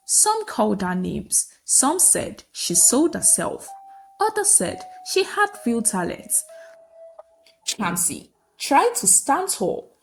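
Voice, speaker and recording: A woman's voice: fairly high pitch, gravelly, a little hiss or hum. A woman narrating a scripted folktale as a storyteller, in dramatic short sentences.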